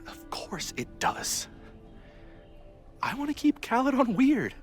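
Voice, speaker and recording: A wry, harried university aide in a naturalistic video game drama. His pitch moves in animated swings, with theatrical sarcasm and exasperation. Faint music plays behind him. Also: slow pace, heavily acted. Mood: earnest, defensive.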